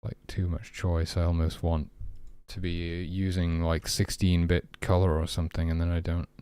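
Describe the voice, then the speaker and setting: A man's voice: deep voice, flat delivery, normal speed, dark tone, clean tone, no echo. A man talking casually and hesitantly as he thinks aloud while working, like an indie developer on a stream. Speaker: indie game developer streaming his work.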